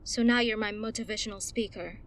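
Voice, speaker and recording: A woman's voice: mid-range voice, steady tone, fairly quick, roomy sound, slight background noise, close to an everyday voice. A woman voicing a guarded, sardonic young fighter with a dry way of talking. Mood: wry.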